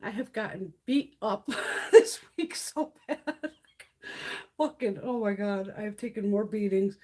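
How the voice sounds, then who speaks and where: A middle-aged woman hosts a casual beauty live stream and rambles through stories with loose, lively swings in her voice. Her tone is husky and slightly worn, as if she is starting to lose her voice, and she swears freely and laughs a lot. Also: mid-range voice, takes it slowly. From Connecticut, USA. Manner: swears freely, laughs often, chatty storytelling.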